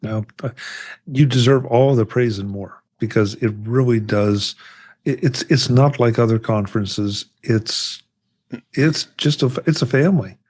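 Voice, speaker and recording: A man's voice: medium pitch, unhurried, a little mellow. A man hosting a panel chat in a friendly, upbeat conversational way. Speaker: show host.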